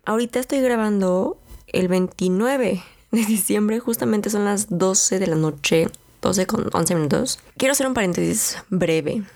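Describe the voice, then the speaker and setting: A young woman with a Mexican accent hosting her own podcast, her voice a little hoarse from the cold, talking in a chatty, rambling way with fillers. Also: mid-range voice, a little slow, darkish tone. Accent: Mexican Spanish. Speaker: podcast host. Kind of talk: casual solo podcast monologue.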